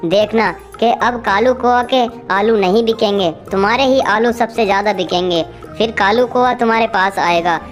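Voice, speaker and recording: A woman's voice: mid-range voice, normal speed, natural voice. Woman acting eager little cartoon bird, music behind. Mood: excited, urging. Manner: eager, urging, exclamatory. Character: cheerful, hardworking little female bird, caring sister. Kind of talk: animated children's story dialogue.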